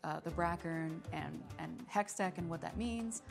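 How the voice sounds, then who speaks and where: Creaky-voiced woman explaining to camera. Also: low-pitched, measured pace, over music. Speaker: game lore developer in a dev video.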